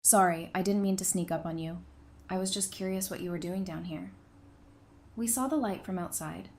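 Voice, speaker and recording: A woman playing a candid, caring, level-headed survivor in an audio drama, speaking in a measured, frank way. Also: lowish voice, darkish tone, natural voice. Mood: curious, caring. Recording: faint background noise and ambience.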